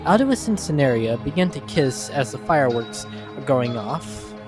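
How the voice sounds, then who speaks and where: A man's voice: medium pitch, lively intonation, moderate pace, over music. A man reading scripted scene narration for an amateur audio drama.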